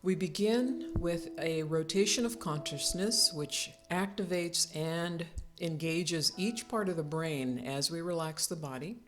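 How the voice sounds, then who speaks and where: Slow, low-pitched woman guiding relaxation. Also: faint music behind. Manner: calm, soothing, unhurried instructions with pauses. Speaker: yoga nidra instructor. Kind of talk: guided relaxation meditation.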